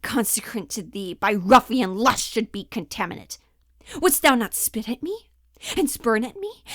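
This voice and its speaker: A woman's voice: on the high side, a bit bright, no echo. A woman performing a wronged, accusing wife in a theatrical classical stage monologue, in dramatic declamatory verse delivery. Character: wronged Shakespearean wife, passionate, accusing, scorned.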